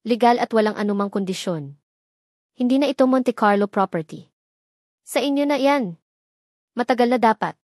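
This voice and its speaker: A woman's voice: a little high, moderate pace, pauses often, darkish tone, dry recording, clean studio sound, natural voice. A woman voicing a remorseful, polite young billionaire man in a dramatic story, solemn and pleading. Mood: firm.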